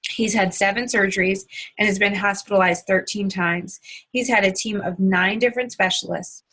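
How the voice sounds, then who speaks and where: A woman's voice: steady tone, normal speed, a bit bright. Upbeat woman giving a sales presentation. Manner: upbeat sales pitch, often opens sentences with "so". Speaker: presenter of a product webinar. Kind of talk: promotional presentation talk over slides.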